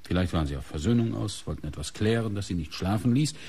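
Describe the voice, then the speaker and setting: A police inspector in a radio crime drama, pressing his questions with stubborn insistence. His voice is low and husky, and the pitch swings widely as he probes. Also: animated, fast talker, warm tone. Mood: insistent. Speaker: actor in a radio crime play. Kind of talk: German radio play acting.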